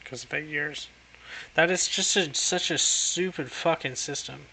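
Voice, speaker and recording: Young man, streamer, gravelly fry, very bright sharp tone, rambling and crude. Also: a little high, measured pace, poor audio. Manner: rambling, crude, self-mocking. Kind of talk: casual reaction commentary.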